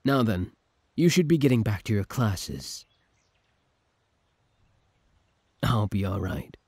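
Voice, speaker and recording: A man playing an obsessive, lovesick older villain from the future in an audio roleplay, commanding and intimate, with a husky voice. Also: fairly low pitch, expressive, moderate pace, darkish tone, no echo, clean studio sound. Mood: wistful. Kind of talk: scripted ASMR roleplay acting.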